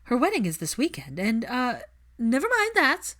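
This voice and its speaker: A woman voicing a flustered young woman, cornered and evasive, speaking in a halting, exasperated way. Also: a little high, wildly swooping pitch, fairly bright tone, pitched above the performer's own voice.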